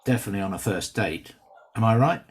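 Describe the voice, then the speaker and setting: A man's voice: on the low side, lively intonation, fast talker. Man playing a sardonic, brash stand-up comic. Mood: cocky teasing. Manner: sardonic, teasing. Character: brash, sardonic, quick-witted stand-up comedian. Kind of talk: script read-through of stand-up banter.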